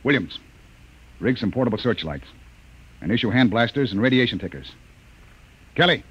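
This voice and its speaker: An actor playing a brisk, wary spaceship captain giving clipped orders in an old science fiction radio drama. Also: male voice, medium pitch, animated, husky. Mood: stern, commanding. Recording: dull, noisy old-time radio recording.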